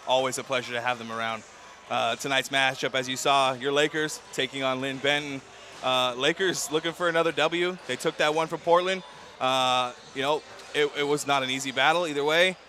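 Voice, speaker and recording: A man's voice: medium pitch, sharp tone, a little hiss or hum. A man commentating a college pregame show in a gymnasium, hesitant, with lots of fillers and restarts. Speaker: sports commentator.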